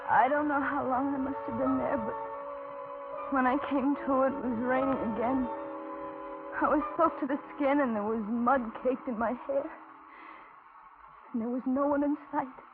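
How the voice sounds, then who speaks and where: An actress playing a frightened young carhop, halting and melodramatic, in a 1940s radio suspense drama. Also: female voice, sits high, steady tone, over loud music, natural voice. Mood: dazed. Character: frightened young carhop recounting her nightmare ordeal. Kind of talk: 1940s radio drama acting, first-person narration. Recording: tinny 1940s radio recording.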